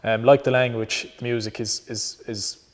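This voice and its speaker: A man's voice: mid-range voice, level tone, moderate pace, in a large hall. A young Irish-speaking man from Connemara with a Connemara Irish accent, talking earnestly from a stage about his music, with ums and restarts. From Conamara, Ireland. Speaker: traditional musician. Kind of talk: on-stage talk introducing songs.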